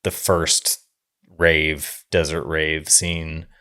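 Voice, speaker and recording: A man's voice: extremely low pitch, flat delivery, drawn-out words, pushed and bright, smooth. A man co-hosting a podcast, talking casually about films in a musing, circling way. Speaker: podcast co-host discussing films. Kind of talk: casual film discussion.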